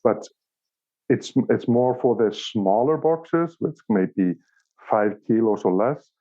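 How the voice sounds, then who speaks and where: A man from Iceland with an Icelandic accent, answering casually as an interview guest in a halting way, repeating words as he searches for phrasing. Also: mid-range voice, lively intonation, mellow. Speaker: interview guest, company operations director. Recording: thin phone-like call audio.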